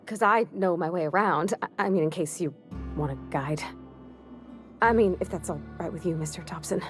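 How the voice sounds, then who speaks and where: An actress playing a shy, eager, deferential young mechanic in a video game, with a hesitant, folksy, stammering manner. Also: female voice, lowish voice, normal speed, faint music behind, close to an everyday voice. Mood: timid, hopeful. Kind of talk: video game character acting.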